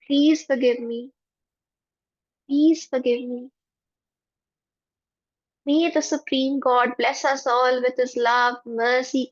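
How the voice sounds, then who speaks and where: A woman leading a guided forgiveness meditation in a soft, prayerful voice with slow repetitions. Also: high-pitched, no room sound. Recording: dull lo-fi, dry.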